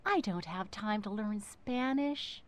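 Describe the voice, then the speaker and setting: A woman plays a grumpy storybook witch who is easily flustered. The voice is exclamatory and fretful, with pitch that jumps around a great deal. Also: animated, takes it slowly. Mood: dismayed. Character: ill-tempered, food-loving witch, easily flustered.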